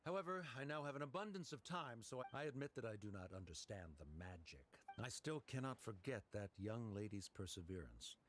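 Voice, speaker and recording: An actor playing a middle-aged scholarly father in a video game, proud and reserved, with formal, halting, awkward speech. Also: lowish voice, sing-song, a bit bright, a little hiss or hum. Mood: stiff, reserved.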